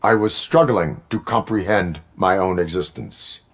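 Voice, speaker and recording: Game casting: low-voiced cyborg lawman, stoic, formal, clipped and deliberate delivery. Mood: calm, flat. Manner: deliberate, clipped, formal speech without contractions. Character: stoic male cyborg police officer, slow and formal. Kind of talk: video game voice acting.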